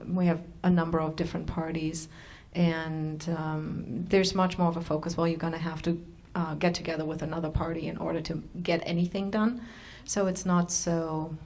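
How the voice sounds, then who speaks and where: A woman on a panel speaking in a wry, matter-of-fact way. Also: low-pitched. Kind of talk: panel discussion answer.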